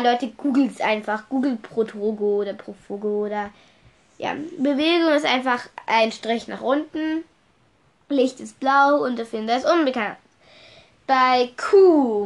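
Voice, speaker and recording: Young woman podcasting alone, sniffly cold, chatty and scattered, spells stuff out letter by letter, kinda high voice. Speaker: podcast host. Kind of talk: casual solo podcast, reading from notes.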